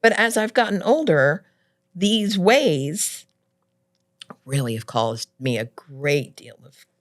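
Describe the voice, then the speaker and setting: A middle-aged woman talking as a guest in a casual interview, loud and animated, laughing often. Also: sits low, slow.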